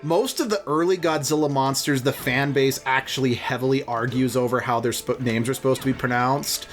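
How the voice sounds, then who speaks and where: A man's voice: mid-range voice, steady tone, fairly quick, over music. A man giving casual let's play commentary, explaining trivia and opinions at length. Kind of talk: casual gaming commentary chat.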